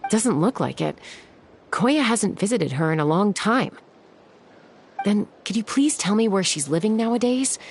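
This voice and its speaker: A woman voicing a steady, frank young woman raised in the wild, speaking in a measured, earnest way for a video game. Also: medium pitch, vocal fry, slight background noise.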